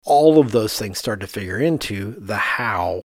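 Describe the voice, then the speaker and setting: A man, an architect hosting a podcast, chatting casually and telling animated anecdotes in which he quotes other people. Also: on the low side, unhurried, no echo, clean studio sound.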